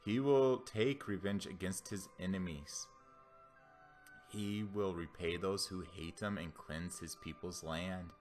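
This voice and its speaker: A man's voice: sits low, slow, a little mellow, soft background music. A man gives a dramatic, solemn and declamatory reading of scripture. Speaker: scripture reader.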